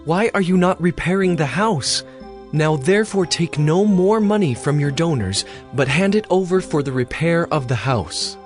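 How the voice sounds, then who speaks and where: A man plays a king of Judah in an audio Bible drama. The voice sits a little high, formal and full of authority as it instructs, with faint music underneath. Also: expressive, moderate pace, close to an everyday voice. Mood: reproving.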